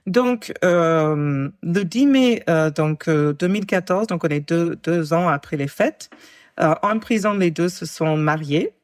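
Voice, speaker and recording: Halting, chatty woman in her forties. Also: on the low side, dry recording, studio quality. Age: 40s. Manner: halting, many fillers and restarts, wry asides. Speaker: true-crime podcast co-host telling the case. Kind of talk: casual storytelling chat.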